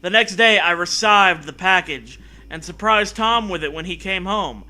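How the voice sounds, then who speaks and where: High-voiced man, piercingly bright, hosting comedy: theatrical, sweary, sarcastic story reading with joking asides. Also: normal speed, rough recording. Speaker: comedy show host. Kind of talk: mocking read-aloud of a story with joking asides.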